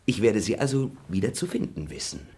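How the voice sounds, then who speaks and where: A swaggering servant in a radio play, showing off his manners with big playful swings in pitch. His voice sits very low and comes out husky. He woos a maid with teasing, flowery talk. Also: very animated, fairly quick, a little mellow. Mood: flirtatious. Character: male servant posing as gallant, flirtatious.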